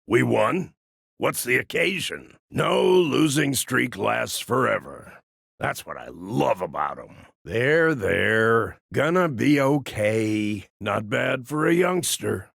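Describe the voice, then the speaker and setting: An actor playing a cocky, folksy old cyborg gunslinger in a video game, with a gruff, gravelly voice and a wry drawl. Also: male voice, mid-range voice, big pitch swings, slow, a bit bright, no room sound, studio quality, full character voice. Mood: approving. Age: older. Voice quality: gravelly, raspy. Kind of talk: video game character voice lines.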